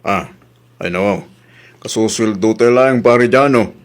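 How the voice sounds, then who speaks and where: A man's voice: on the low side, unhurried, natural voice. A man voice-acting a grumbling, questioning husband in a comedy drama, with a Filipino accent. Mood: reluctant.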